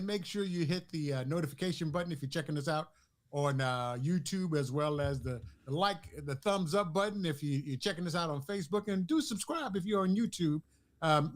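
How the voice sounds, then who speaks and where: High-voiced, upbeat man hosting a show. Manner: energetic, hypes the audience. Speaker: host. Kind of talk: casual sports talk show hosting.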